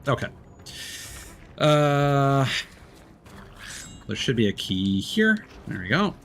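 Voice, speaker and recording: Male game streamer, creaky fry in the voice, unhurried pace, halting casual muttering, faint background noise. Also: medium pitch, very animated, a bit bright. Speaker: live streamer. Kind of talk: casual live gaming stream talk, thinking aloud.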